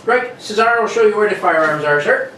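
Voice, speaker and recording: A plain-spoken police sergeant, acted by a woman, folksy and deferential with a suspicious streak. The voice sits at a middling pitch but moves around a lot. It is radio-mystery acting in an echoey room. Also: expressive, fairly quick, a bit bright, natural voice. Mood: gossipy, suspicious. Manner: colloquial, matter-of-fact, folksy. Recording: roomy, distant, faintly noisy recording.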